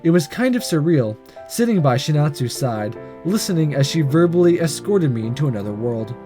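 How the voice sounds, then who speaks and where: A man's voice: on the high side, very animated, brisk, music in the background. A man hosting a gaming video, reading a visual novel aloud with casual comments and stumbling over the odd line. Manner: stumbles and rereads lines.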